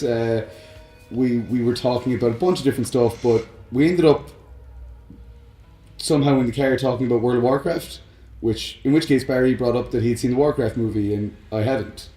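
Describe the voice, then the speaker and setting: A man's voice: medium pitch, fairly even intonation, a little fast, dark tone. A man co-hosting a podcast, chatting casually about films and games. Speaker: podcast co-host. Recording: roomy echo, faint music behind.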